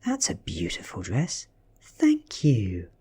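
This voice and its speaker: Breathy ancient vampire woman voiced by a man, British. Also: fairly low pitch, huge pitch swings, slow pace, dark tone, heavily acted. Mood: poised. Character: ancient female vampire, coolly confident, posing as student. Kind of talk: soft-spoken audiobook character reading.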